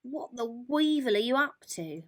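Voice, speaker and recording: A woman's voice: fairly high pitch, animated, a little slow, a bit bright, voice pushed up. A woman voicing a grumpy, grunting hedgehog with a blunt manner in a children's storybook. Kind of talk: children's storybook character reading.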